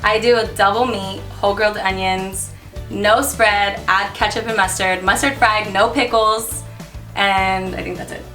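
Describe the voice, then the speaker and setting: A woman's voice: mid-range voice, fairly even intonation, a little slow. Young woman, 20s, chatty, upbeat, band member, distant mic, background music. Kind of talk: casual chat.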